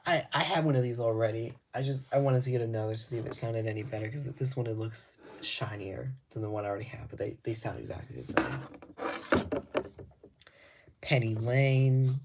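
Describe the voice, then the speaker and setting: A man showing records from his collection in casual talk, laid-back and rambling, his voice slightly raspy, with frequent ums and restarts. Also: medium pitch, a little fast, background noise.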